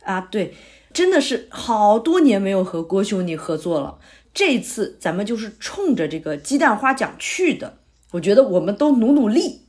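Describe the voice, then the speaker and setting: A woman's voice: medium pitch, sing-song, moderate pace, darkish tone, close to an everyday voice. A woman playing an earnest male playwright in an audio drama, talking persuasively and explaining his ideas at length. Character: earnest middle-aged male playwright, eager pitcher. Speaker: playwright character.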